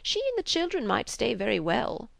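A woman voicing a gentle, fretful, doting old father in an audiobook, plaintive and hesitant, rambling along. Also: fairly high pitch, very animated, moderate pace, vocal fry, no room sound, voice pushed up, full character voice. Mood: dejected, fretful. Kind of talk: audiobook character reading of a classic novel.

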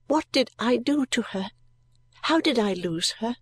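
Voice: medium pitch, animated, a little slow, fairly bright tone, husky, no room sound, pitched above the performer's own voice. A reader voicing a frightened little girl who begs her godmother through tears. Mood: pleading. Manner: tearful, begging.

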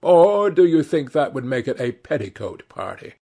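A man's voice: a little high, sing-song, normal speed, creaky, warm tone, husky, pitched above the performer's own voice, full character voice. A man voicing a witty, cynical aristocrat in a storybook reading, languid and epigrammatic. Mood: wry.

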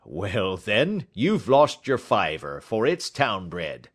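Audiobook part: gruff, snappish stallholder, acted by a male reader, cocky and blustering, with lively swings in pitch. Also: takes it slowly. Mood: snappish, stubborn. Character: gruff, hot-tempered cocksure market poultry salesman. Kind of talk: audiobook character dialogue.

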